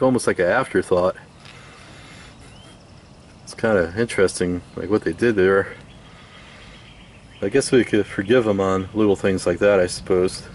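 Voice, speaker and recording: A man giving a casual hands-on restoration demonstration, musing aloud in an unhurried, hesitant way as he works. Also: on the low side, slight background noise. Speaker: radio restoration hobbyist.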